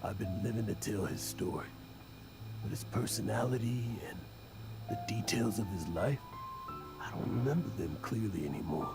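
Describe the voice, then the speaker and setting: A weary old adventurer from a video game, weighed down by guilt. The voice is gravelly and creaky, halting with emotion. Music plays underneath. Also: male voice, lowish voice, lively intonation. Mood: weary regret. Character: weary, guilt-ridden old male adventurer, self-loathing. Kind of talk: dramatic video game voice acting.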